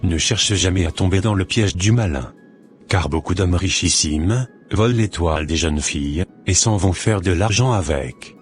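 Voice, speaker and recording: Deep-voiced church elder preaching in a cartoon, calm and admonishing, a man voicing him. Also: faint music behind, close to an everyday voice. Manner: slow, sermonizing, gently admonishing.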